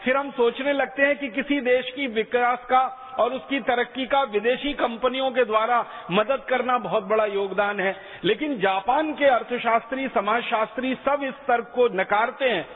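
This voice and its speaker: A man's voice: very high-pitched, moderate pace, hardly any pauses, slightly rough. A man giving an emphatic public lecture, driving his points home with repeated phrases. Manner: emphatic, rhetorical repetition. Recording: echoey hall on a tinny lo-fi line.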